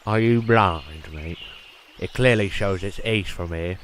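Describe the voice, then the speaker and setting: A man's voice: sits low, a little slow, a little hiss or hum. A man playing a blunt, exasperated traveller in a comedy fantasy sketch. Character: blunt, unimpressed male traveller, impatient with fools.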